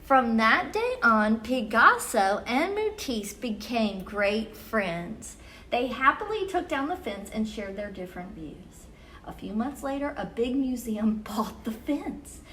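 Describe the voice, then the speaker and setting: A woman hosting a children's story time, reading picture books aloud expressively with measured, deliberate phrasing for young listeners. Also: on the high side, very animated, bright, forceful tone, a little hiss or hum.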